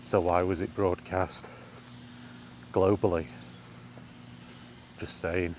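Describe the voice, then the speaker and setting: A man with a low voice talks at a slow, unhurried pace in a casual, rambling monologue. He is plain-spoken, like a gaming vlogger out on a walk, with light outdoor noise behind him. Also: flat delivery.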